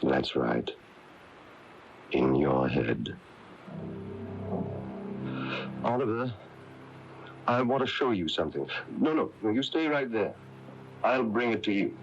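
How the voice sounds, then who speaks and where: An actor playing a firm, knowing male confidant in a radio mystery drama, questioning deliberately and pressing for answers. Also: lowish voice, very animated, slight background noise. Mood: firm, guiding. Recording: muffled 1980s AM radio tape, faint music.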